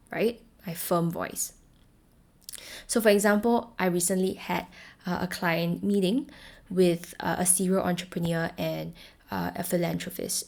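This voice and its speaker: A young woman from Singapore with a Singaporean English accent, hosting a solo podcast as a voice coach, speaking clearly and encouragingly. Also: on the low side. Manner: clear, encouraging, shifts between firm and gentle tones.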